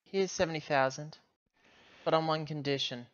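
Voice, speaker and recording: A woman playing a shrewd, businesslike ship owner in a tabletop role-play, bargaining in a measured, firm way. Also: sits low, natural voice. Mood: cool, bargaining. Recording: muffled voice-chat audio.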